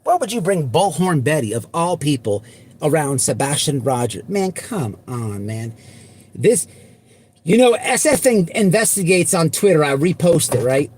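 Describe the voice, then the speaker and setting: A man hosting an unscripted livestream, talking bluntly and repetitively to his viewers, with halting false starts. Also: a little high, sing-song, a little mellow, slight background noise. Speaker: livestream host.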